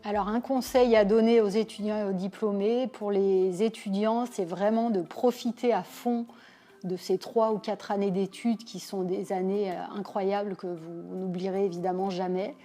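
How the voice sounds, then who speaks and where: A woman in her forties from Lyon, thoughtfully telling her career story in a measured way with frequent pauses. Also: mid-range voice, steady tone, dark tone. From Lyon, France. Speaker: business owner interviewee. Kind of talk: personal career account to camera.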